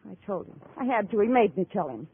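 Actress as tough, weary criminal's wife, 1950 radio play, dull hissy sound. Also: female voice, mid-range voice, big pitch swings, quick pace, lightly acted. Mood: bitter, confessing. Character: hard-bitten, deceitful female gangster's wife. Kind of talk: 1950s radio acting. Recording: narrow, noisy 1950 radio recording.

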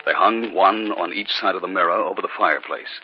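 An actor playing Bert, a husband in a 1950s radio drama who is troubled by strange events. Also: male voice, deep voice, a little fast, close to an everyday voice. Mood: grave, brooding. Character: troubled husband Bert, recounting a haunting, easygoing at home. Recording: dull vintage 1950s radio recording, music behind.

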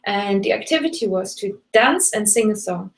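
A woman's voice: medium pitch, expressive, normal speed. A woman from Germany, a native German speaker, teaching German in a chatty, enthusiastic live online class and greeting viewers from the chat. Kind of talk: live bilingual webinar.

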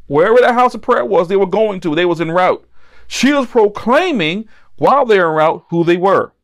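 A middle-aged man preaching a Bible-teaching sermon, emphatic and repeating key phrases for stress. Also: high voice. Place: indoor set with backdrop, at a table.

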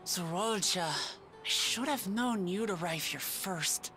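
A woman's voice: mid-range voice, animated, slow pace, pushed and bright, soft background music. An actress playing a proud, hot-tempered young lion-woman warrior in a video game, forthright and emphatic. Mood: furious. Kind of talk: scripted video game cutscene acting.

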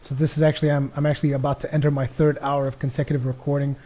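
A young man from Portugal, a native Portuguese speaker, giving jokey rambling let's play commentary on a headset as his voice grows tired and hoarse. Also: medium pitch, little pitch movement, rapid-fire, warm tone. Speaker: gaming commentator.

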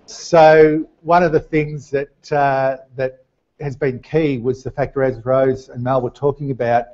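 A middle-aged man gives a semi-formal presentation with a narrow, even pitch range. He moves haltingly from phrase to phrase. The sound is slightly dull and lo-fi, like a seminar webcast. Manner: hesitant, halting delivery. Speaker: seminar presenter introducing a speaker. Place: small indoor seminar room.

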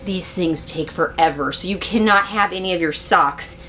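A woman's voice: on the low side, moderate pace, sharp tone, soft background music. An American woman in her forties chatting emphatically to camera as she gives practical tips in a casual vlog. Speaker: vlogger sharing RV living tips. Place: inside an RV living space and bedroom. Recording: clear background ambient noise.

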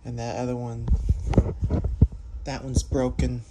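A man's voice: mid-range voice, takes it slowly, very noisy. Rambling young man filming on his phone. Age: young adult. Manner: rambling, excitable, apologetic. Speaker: vlogger. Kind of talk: casual off-camera commentary. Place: home workshop. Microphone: phone camera held by the filming speaker.

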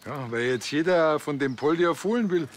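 A man's voice: a little high, a little fast, slight background noise, lightly acted. An actor with a Bavarian accent playing an eager village policeman who talks in a chatty, colloquial way.

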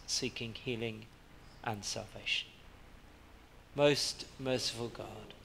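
A male priest leads prayers from a lectern, speaking in a slow, measured liturgical cadence. The church's long reverberation rings behind his words, and a faint hiss sits beneath the distant recording. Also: mid-range voice. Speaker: priest leading the service. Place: church interior with stained glass.

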